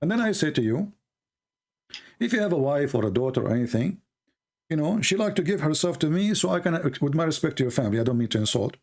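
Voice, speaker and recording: Insistent Arabic-speaking man, gravelly voice. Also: fairly high pitch, sing-song, fast talker, darkish tone. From Middle East. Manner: argumentative, insistent, repeats points. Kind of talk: debate on a call.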